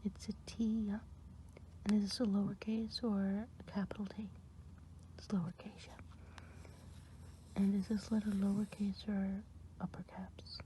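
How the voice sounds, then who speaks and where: A woman's voice: medium pitch, little pitch movement, measured pace, long pauses, a little hiss or hum, natural voice, rough recording. A woman whispering as a kindly good witch. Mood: gentle. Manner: soft whispering, patient and encouraging. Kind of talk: ASMR roleplay.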